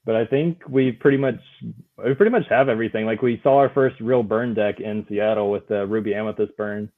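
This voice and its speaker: A man's voice: on the low side, steady tone, a little fast. Laid-back man on a tinny line. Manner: laid-back, laughs often. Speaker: guest player. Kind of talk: casual chat.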